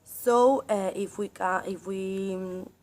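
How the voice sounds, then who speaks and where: A woman's voice: medium pitch, steady tone, slow pace, a little mellow. A woman in her thirties in a classroom role-play, speaking hesitantly with many fillers and restarts. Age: 30s.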